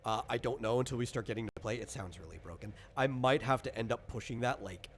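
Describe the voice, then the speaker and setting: A man's voice: medium pitch, fast. A man acting as game master, casually explaining tabletop game rules and thinking aloud as he goes. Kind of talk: casual explanatory chat during character creation.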